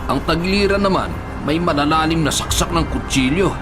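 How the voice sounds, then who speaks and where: A man's voice: a little high, brisk, over music, lightly acted. An actor playing a gossipy, matter-of-fact male police officer explaining things in a Filipino radio drama, with a Filipino accent. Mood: grave, confiding. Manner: forthcoming, explanatory.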